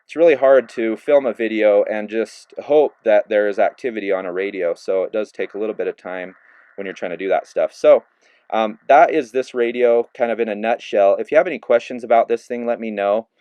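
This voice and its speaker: A man giving a relaxed, casual hands-on review of a handheld radio, narrating each step with plenty of fillers as he works the controls. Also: on the low side, a little fast, clear, resonant tone, no room sound, muffled recording. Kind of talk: casual hands-on product demo.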